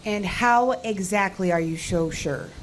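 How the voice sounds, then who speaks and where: A woman's voice: mid-range voice, a little slow, slight background noise, natural voice, poor audio. An actress playing a sceptical, short-tempered female technician in an audio drama, brusque and sarcastic.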